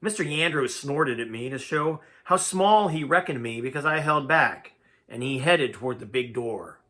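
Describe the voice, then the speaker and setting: A man's voice: mid-range voice, animated, moderate pace, bright, forceful tone. A man reading a first-person tale aloud in a dramatic, folksy storytelling manner. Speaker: amateur audiobook reader.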